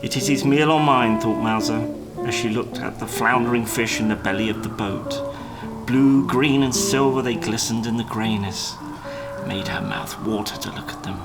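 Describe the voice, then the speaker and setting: A man's voice: fairly low pitch, steady tone, normal speed, poor audio. Male storyteller over plucked guitar music. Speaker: storyteller, narrator. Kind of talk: scripted storybook narration for a live puppet show.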